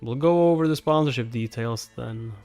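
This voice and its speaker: A man's voice: mid-range voice, moderate pace, a little mellow, dry recording, lightly acted. Guy doing stiff sceptical business official from a comic, formal talk, mid voice, light music behind. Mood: guarded, formal.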